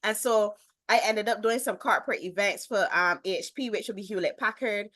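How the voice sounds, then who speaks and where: A woman from Barbados in her thirties with a Barbadian accent, a guest telling her story in an interview with expressive emphasis. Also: medium pitch, normal speed, no room sound. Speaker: guest, business lifestyle strategist. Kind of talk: interview, personal introduction.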